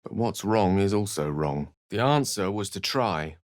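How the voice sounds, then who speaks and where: An actor playing an eloquent, theatrical and wry human wizard in a fantasy video game, with articulate, literary phrasing. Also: male voice, sits low, lively intonation, measured pace, dry recording, clean studio sound. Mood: solemn.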